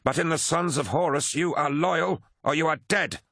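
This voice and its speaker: A man's voice: a little high, lively intonation, normal speed, no room sound, pitched above the performer's own voice. A blunt, uncompromising warrior captain, voiced by a man reading an audiobook. He snarls hard, raised well above the narrator's register, with a fierce, loyal temper and a bone-dry sound. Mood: snarling. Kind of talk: dramatic audiobook character acting.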